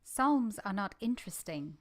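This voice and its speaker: A woman's voice: medium pitch, lively intonation, moderate pace, lightly acted. A woman playing a plain-spoken, defiant orphan girl who tells her own story in a vintage radio drama.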